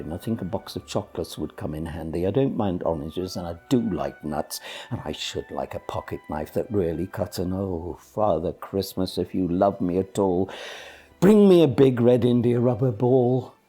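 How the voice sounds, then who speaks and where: A man's voice: low voice, normal speed, warm tone, soft background music, lightly acted. A man voicing a lonely, childlike storybook king who pleads earnestly for presents in sing-song verse. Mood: hopeful pleading.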